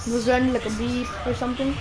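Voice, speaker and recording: High-pitched woman streamer, chatty and giggly, game music loud behind. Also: little pitch movement, very fast. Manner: chatty, giggly, swears casually. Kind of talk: casual chat while playing. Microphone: gaming mic.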